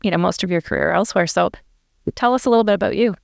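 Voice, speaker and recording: A woman's voice: mid-range voice, rapid-fire, gravelly, no echo, studio quality. A woman hosting a podcast, conversational and friendly with some fillers. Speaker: podcast host.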